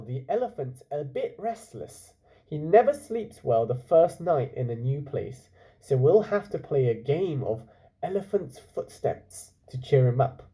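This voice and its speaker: A man voicing a sly, bossy boy schemer in a children's story, brisk and persuasive as he gives orders. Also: mid-range voice, warm tone, rough recording.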